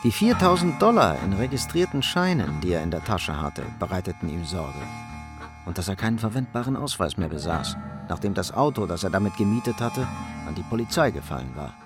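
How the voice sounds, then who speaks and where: An actor narrating a hard-boiled crime radio play in a sober, scripted storytelling style. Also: male voice, deep voice, sing-song, a little fast, music in the background. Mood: sober, suspenseful.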